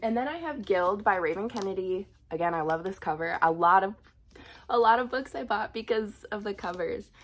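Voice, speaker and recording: A woman's voice: mid-range voice, lively intonation, moderate pace. A young woman with a cold, sounding sniffly as she chats casually about books, with frequent ums and restarts. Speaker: book vlogger. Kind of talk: casual book unhaul chat.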